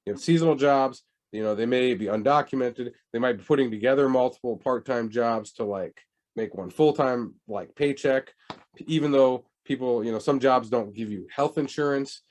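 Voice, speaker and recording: Guy lecturing, chatty and animated, swings his pitch up a lot when he's surprised. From United States. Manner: lively, conversational, asks rhetorical questions. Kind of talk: recorded public health lecture.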